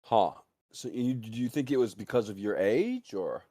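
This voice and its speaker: A man's voice: mid-range voice, expressive, moderate pace, warm tone. A man interviewing casually on a livestream, asking probing questions and rephrasing them in a rambling way.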